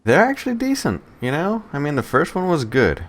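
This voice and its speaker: A man's voice: fairly high pitch, wildly swooping pitch, fairly quick. A man co-hosting a podcast, chatting casually with an exasperated, sweary way of talking. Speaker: podcast co-host. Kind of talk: casual chat, banter.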